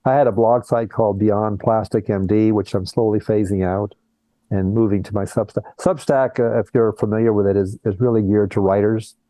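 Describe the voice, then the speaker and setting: A man's voice: fairly low pitch, steady tone, moderate pace, warm tone, no room sound, poor audio. An older man who is a plastic surgeon, talking earnestly in a conversational interview over a video call and often restarting his words. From born in Colombia, raised in Brazil and the US.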